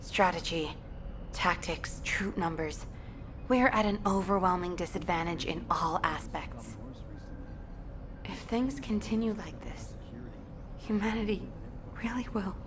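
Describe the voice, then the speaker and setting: An actress playing a serious, composed military strategist in a video game, giving a formal, measured and earnest briefing. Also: female voice, fairly low pitch, expressive, noisy background. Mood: grim. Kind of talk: scripted video game drama acting.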